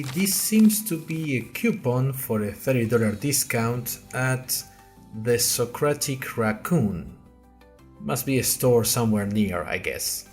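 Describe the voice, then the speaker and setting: A man playing a bewildered, earnest young college newcomer, narrating an audio diary podcast in a wry, hesitant, conversational way straight to listeners. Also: medium pitch. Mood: curious, surprised. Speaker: narrator and podcast host character. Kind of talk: first-person audio fiction narration.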